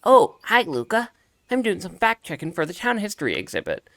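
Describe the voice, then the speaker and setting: A man's voice: high voice, huge pitch swings, brisk, fairly bright tone, no room sound, voice pushed up, heavily acted. A man voicing an eager, nerdy young history buff in a cartoonish animal video game. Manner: earnest, fussy, rattles off facts.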